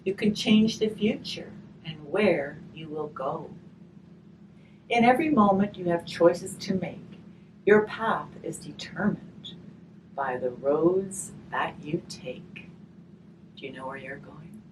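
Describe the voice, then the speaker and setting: A woman reading a picture book aloud slowly and expressively, pausing to chat and put questions to her listeners. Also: deep voice. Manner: slow, expressive reading with chatty questions to the audience. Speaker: hospice volunteer reading a picture book to children. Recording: distant mic, faint background noise.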